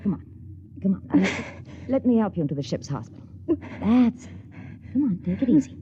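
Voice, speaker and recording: Woman acting caring rocket stewardess, brisk, soothing, 1950s radio drama, mid pitch, slightly muffled vintage recording. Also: big pitch swings, warm tone, lightly acted. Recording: dull 1950s radio recording, faint noise.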